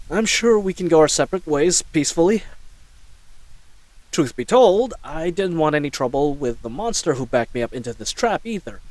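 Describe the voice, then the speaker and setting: A man's voice: on the high side, dry recording, poor audio. A man playing a flustered, chatty knight in a scripted audio roleplay, rambling nervously and stammering. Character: babbling, flustered young male knight caught in a snare.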